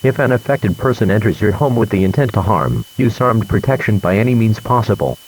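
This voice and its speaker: A man's voice: lowish voice, lively intonation, brisk, lightly acted, low-quality recording. A man playing a stern emergency broadcast announcer, giving formal instructions.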